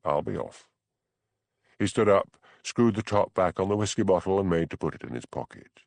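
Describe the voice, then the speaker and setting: Creaky, expressive male audiobook narrator. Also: low voice, a little fast, no room sound. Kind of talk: scripted narration of a mystery novel.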